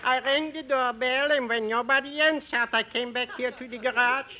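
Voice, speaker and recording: A man's voice: very high voice, steady tone, moderate pace, over a noisy crowd. A man playing a genial, silly comic character with a Yiddish accent and singsong, emphatic delivery in 1950s radio comedy. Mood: genial, earnest. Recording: noisy old 1950s radio recording.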